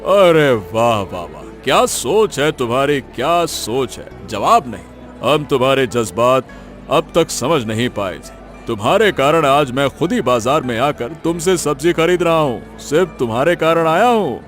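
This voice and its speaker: A man's voice: medium pitch, expressive, unhurried, fairly bright tone, soft background music. A man voicing a hearty village headman in a cartoon story, effusive and declarative in his praise. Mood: admiring. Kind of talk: scripted cartoon story acting.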